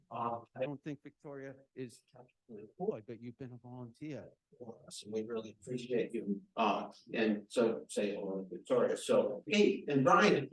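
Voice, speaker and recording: A man with a deep, carrying voice welcoming an audience as the association's chapter president, talking loudly and chattily without a microphone. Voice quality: deep voice that carries. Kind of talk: welcoming remarks at a live event. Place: event room at a nonprofit center. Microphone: no microphone, recorded from the room. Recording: echoey room.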